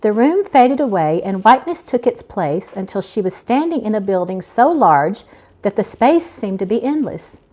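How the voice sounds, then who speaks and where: A middle-aged woman giving a live, informal reading of her own fiction, expressive and unpolished, as an amateur. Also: medium pitch, moderate pace. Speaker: author reading own novel aloud. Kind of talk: live amateur audiobook reading with casual asides.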